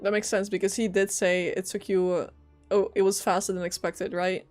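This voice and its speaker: Girl streamer chatting casually over a game, normal pitch, quick little reactions, soft music in back. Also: little pitch movement. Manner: informal, reactive asides. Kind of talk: casual gameplay commentary.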